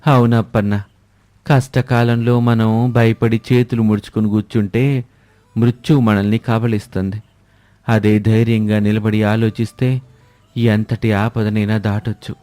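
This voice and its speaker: A man voicing a proud, supportive village family man in an animated moral story, speaking with earnest, admiring emphasis. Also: lowish voice, unhurried, dry recording, natural voice.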